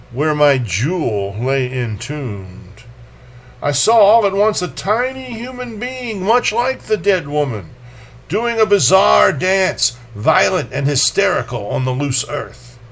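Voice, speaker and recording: A man hosting a poetry reading, delivering verse in a dramatic, deliberate manner with casual commentary. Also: sits high, big pitch swings, a bit bright. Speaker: poetry reader and host.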